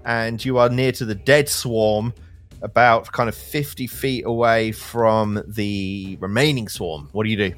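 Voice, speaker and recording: A man's voice: lowish voice, steady tone, unhurried, fairly bright tone. A man acting as game master, narrating a tabletop game casually and calling for rolls. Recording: music playing under the voice.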